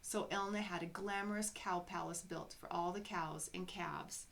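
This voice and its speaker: A woman reading a children's story aloud in an expressive storybook style. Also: fairly low pitch, normal speed, vocal fry, slight background noise. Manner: expressive, acts out characters' dialogue.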